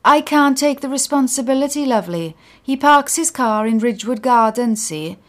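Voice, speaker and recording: A friendly bus driver turns down a request with a string of apologies but will not budge. A woman reading the story voices the part, raising her pitch and speeding up in bursts. The tone is warm but firm. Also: expressive, normal speed. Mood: apologetic firm. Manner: chatty, apologetic, calls people lovely.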